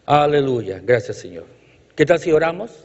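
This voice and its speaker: A man's voice: on the high side, big pitch swings, moderate pace, a little mellow. A male preacher from Chile giving a sermon with emphatic, rhythmic repetition and light jokes to the congregation. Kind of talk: sermon with congregational call-outs. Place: church hall. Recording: reverberant hall, dull lo-fi sound.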